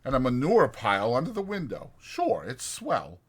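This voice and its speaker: A man's voice: medium pitch, wildly swooping pitch, normal speed, breathy edge, pitched above the performer's own voice. A man voicing a bitter, proud and lonely stable hand with blunt, irritable, folksy rural speech in an audiobook. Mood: dark, sardonic.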